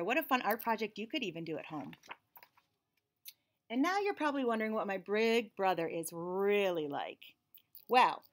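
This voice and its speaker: An American woman in her forties reads a picture book aloud to young children, expressively, with friendly teaching asides. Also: mid-range voice. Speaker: read-aloud host and teacher. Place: small indoor room with shelves and cabinets.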